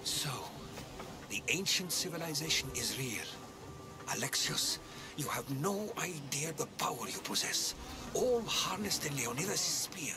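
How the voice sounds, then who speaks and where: An inquisitive Greek scholar in a dramatic game scene. His voice is slightly high for a man, full of eager wonder. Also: big pitch swings, a little slow, music in the background. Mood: awed. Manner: inquisitive, marvelling. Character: eager, awestruck Greek scholar companion, male. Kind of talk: video game dramatic acting.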